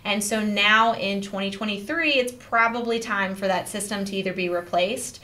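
A woman in her thirties hosts the show with a bright, forceful tone. She explains things clearly and guides the conversation. She speaks into a desk podcast mic in a small office. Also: medium pitch, moderate pace. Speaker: real estate agent host. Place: small office studio, indoors.

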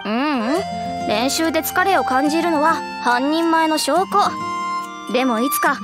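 A woman's voice: sits high, unhurried, loud music behind the voice. A woman voicing an earnest, eager teenage girl who trains as a knight in an anime game, youthful and girlish.

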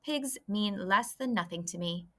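A woman's voice: mid-range voice, measured pace, fairly bright tone. A woman voicing a snobbish young lamb who speaks curtly and disdainfully. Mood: snooty. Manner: curt, disdainful.

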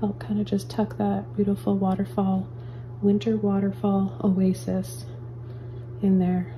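Gentle woman teaching a painting tutorial. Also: mid-range voice, measured pace, slight background noise, poor audio. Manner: calm, gentle step-by-step instructions. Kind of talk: real-time painting tutorial. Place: indoor studio at an easel.

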